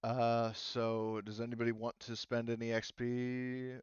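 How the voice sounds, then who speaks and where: A man's voice: mid-range voice, completely flat delivery, a little slow, clean tone, dry recording, muffled recording. A man refereeing a casual tabletop game as game master, hesitant and thinking aloud as he talks.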